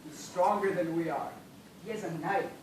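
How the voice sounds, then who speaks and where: Echo-drenched, bitter gentleman castaway played by a man. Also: high voice, normal speed, lightly acted. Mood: suspicious, weary. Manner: formal, theatrical, bitter delivery. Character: weakened, bitter, impatient gentleman castaway dying of thirst. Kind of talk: amateur one-act stage play acting. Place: indoor theatre stage, recorded from the audience distance. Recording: very echoey noisy hall, far away.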